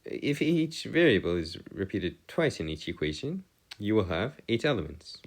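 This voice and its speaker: A man giving a step-by-step math lesson as a tutor, halting and pausing to check himself, with formal, bookish wording. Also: fairly low pitch, very animated. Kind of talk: lesson, working through equations step by step.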